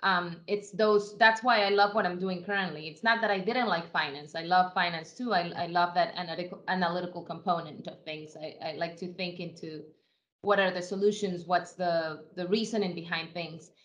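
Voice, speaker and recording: A woman's voice: on the low side, fairly even intonation, normal speed, fairly bright tone. A woman from Ecuador, a guest in an interview, answering in an earnest, reflective way with frequent restarts.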